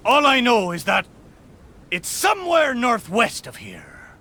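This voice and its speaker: Animated, blustering boat. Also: male voice, very high voice, heavily acted. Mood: sheepish, boastful. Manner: blustering, drawn-out exclamations, pirate talk. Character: talking pirate boat, hearty, excitable old seafarer. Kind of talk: theatrical video game character acting.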